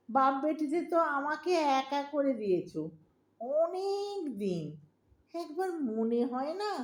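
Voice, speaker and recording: A woman's voice: high-pitched, darkish tone, echoey room, heavily acted. A woman playing a lonely, sentimental middle-aged Bengali mother in an audio drama, with a pleading, emotional delivery and a Bengali accent. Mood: hurt, accusing.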